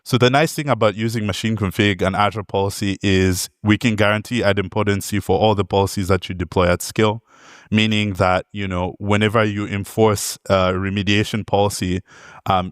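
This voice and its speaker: A man's voice: fairly low pitch, steady tone, moderate pace, no room sound, studio quality. A young man from Zambia giving a technical conference talk, friendly, with many ums and uhs and light jokes. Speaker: conference speaker, product manager. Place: conference hall.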